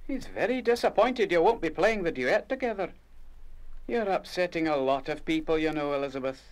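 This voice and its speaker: A man playing a kindly, gently reproachful music teacher in an audio drama. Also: on the high side, animated, a little fast, natural voice. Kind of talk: full-cast audio drama acting.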